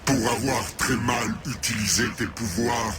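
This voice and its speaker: A man's voice: mid-range voice, unhurried, lightly acted. A man playing a stern ruler who pronounces judgment in a declamatory, ceremonial way in a dubbed game cutscene. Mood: solemn condemnation. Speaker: video game character, judge. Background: loud music.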